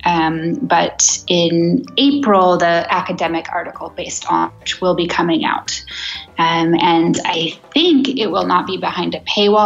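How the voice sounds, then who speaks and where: A woman's voice: fairly low pitch, creaky. A woman in her thirties, a researcher guest on a podcast, talking in a chatty, self-deprecating way and laughing often. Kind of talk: podcast interview. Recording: roomy, muffled sound with faint music.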